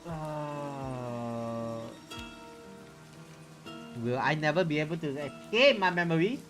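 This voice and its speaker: A man's voice: a little high, takes it slowly, music in the background. A man reading a visual novel aloud in a theatrical, playful way, with casual reactions in between. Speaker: streamer.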